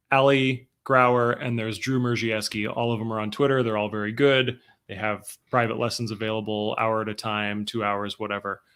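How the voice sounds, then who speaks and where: A man hosting a casual roleplaying-game discussion over a video call, talking through a stuffy, sniffly cold with quick examples. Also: medium pitch, fairly bright tone, clear, resonant tone. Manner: chatty, explains with quick examples. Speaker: host.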